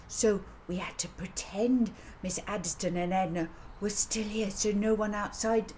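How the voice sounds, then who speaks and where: A woman's voice: medium pitch, fairly even intonation, measured pace, darkish tone, voice pushed up, lightly acted. A woman voicing an earnest little girl in a storybook, explaining things in childish run-on sentences. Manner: childish, breathless run-on telling. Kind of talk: children's audiobook character voice. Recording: audible background noise.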